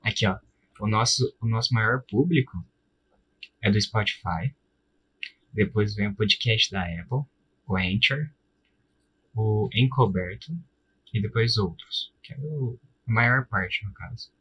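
A man's voice: lowish voice, unhurried, pauses often, fairly bright tone, breathy edge, rough recording. A man with a Brazilian accent hosting a podcast, chatting casually in informal, slangy speech. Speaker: podcast host.

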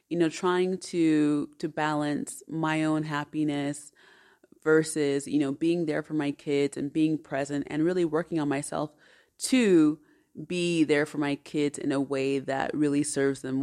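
Chatty low-voiced woman telling a story. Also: a little mellow. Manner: chatty and earnest, with many fillers and restarts. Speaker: podcast host. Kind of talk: personal storytelling.

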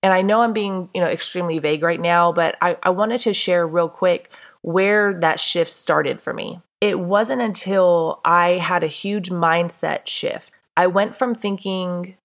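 Congested woman with a gravelly low voice. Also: a little slow, hardly any pauses. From North Carolina. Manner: candid, earnest, conversational. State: congested, throaty from allergies. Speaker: podcast host. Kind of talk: casual solo podcast talk.

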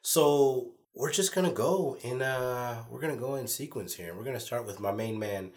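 A man's voice: medium pitch, normal speed. A man co-hosting a casual podcast, nasal from a cold, teasing his friends and rambling a bit. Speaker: podcast co-host.

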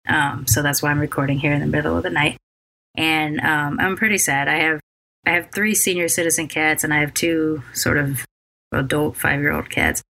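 A woman hosting her podcast late at night, tired and with a scratchy voice left over from a cold, talking in a halting way with many ums and restarts. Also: deep voice, creaky, pushed and bright. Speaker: podcast host. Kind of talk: casual solo podcast intro.

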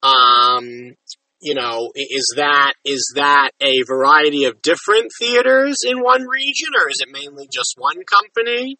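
A man's voice: fairly high pitch, lively intonation, a little slow, bright, forceful tone, no room sound, recorded from a distance, poor audio. A man hosting a casual interview, asking follow-up questions with frequent fillers and restarts. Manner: conversational, asks follow-up questions with many fillers. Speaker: interview host.

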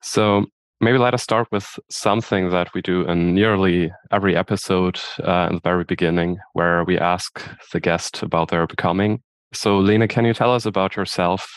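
A man's voice: low voice, fairly even intonation, moderate pace, clear, resonant tone, no room sound. A man co-hosting a podcast, welcoming the guest and asking questions in a friendly, loosely phrased way. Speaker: podcast co-host. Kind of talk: casual interview questions.

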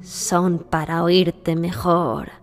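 A woman voicing a sly male wolf in a children's story, sweet-talking with drawn-out, falsely polite words. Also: on the low side, level tone, pitched below the performer's own voice. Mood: coaxing, menacing. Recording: long reverb with music behind.

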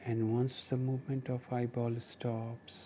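A man with an Indian accent leading a guided meditation, giving gentle, measured instructions in short phrases with pauses. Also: medium pitch, little pitch movement, a little hiss or hum. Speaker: meditation teacher and podcast host.

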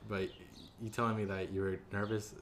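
Soft-spoken young man, deep voice. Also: little pitch movement, brisk, a little hiss or hum. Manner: soft-spoken, hesitant, many "uh" and restarts. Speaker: podcast guest. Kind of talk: casual podcast chat.

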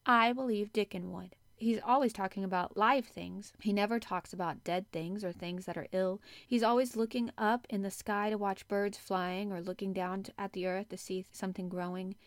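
A woman's voice: mid-range voice, fairly even intonation, normal speed, hardly any pauses, dry recording, natural voice. Woman playing an earnest, stubborn young girl. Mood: eager, coaxing. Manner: obstinate, enthusiastic, frank. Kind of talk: audiobook character acting.

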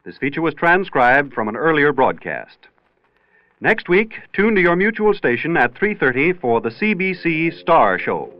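A man's voice: fairly high pitch, big pitch swings, normal speed. A man announcing in polished, emphatic 1940s radio style. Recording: dry, dull old radio recording.

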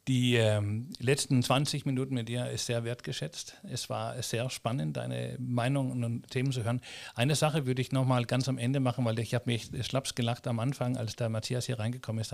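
A man with a gravelly, creaky voice hosts a casual interview. His speech is halting, full of restarts and fillers. His pitch sits in a middle range for a man. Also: little pitch movement. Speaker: podcast host.

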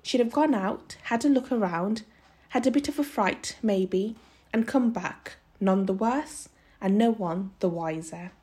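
A woman voicing a steady, cautious working-class father in a storybook, gentle and measured, with homely ungrammatical speech. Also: medium pitch, natural voice. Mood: reassuring. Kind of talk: storybook read-aloud character voice.

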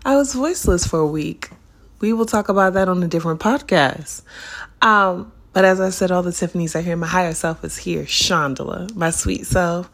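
A young adult woman hosting a casual solo podcast, her voice a little raspy as it recovers from illness, talking in an animated, playful and profane way. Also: lowish voice, moderate pace. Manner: animated, profane, playful, spiritual self-help talk. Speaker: podcast host.

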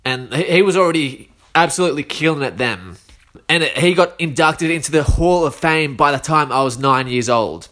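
A man's voice: a little high, expressive, moderate pace, pushed and bright. Slightly fluey Australian man rambling. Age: 30s. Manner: rambling, slangy, many fillers and restarts. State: a bit sick, coughing. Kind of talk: casual solo chat.

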